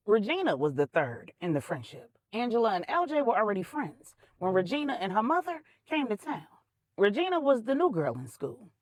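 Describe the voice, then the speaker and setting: A woman narrating a scripted story in a deliberate, dramatic storytelling manner. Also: fairly high pitch, no echo.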